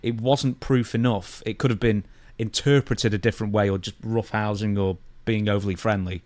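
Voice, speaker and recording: Low-voiced British man, close dry recording. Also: fairly even intonation, normal speed, a little mellow, no room sound. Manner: blunt, slangy. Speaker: podcast co-host. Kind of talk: casual podcast chat, reading out news stories.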